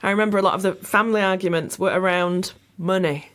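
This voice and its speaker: A woman's voice: medium pitch, steady tone, fast talker, fairly bright tone. A woman interviewing conversationally on the radio, reflective as she feels her way into questions.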